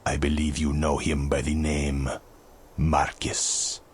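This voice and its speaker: An actor playing a grave, courtly vizier mage in a video game, with formal, ominous, theatrical delivery. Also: male voice, rumbling low, completely flat delivery, takes it slowly, a little hiss or hum. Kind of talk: video game cutscene acting.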